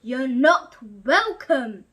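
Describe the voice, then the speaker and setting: A woman's voice: high voice, big pitch swings, drawn-out words, a bit bright, slightly rough, heavily acted. A woman voicing an angry, menacing male beast from a storybook in a confrontational way. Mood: roaring anger. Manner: roaring, confrontational.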